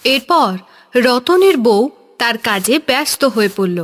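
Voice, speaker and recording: A woman narrating a cartoon fairy tale in a calm, soothing way. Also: a little high, unhurried. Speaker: narrator and voice of the laborer's wife. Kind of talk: storybook narration and acting.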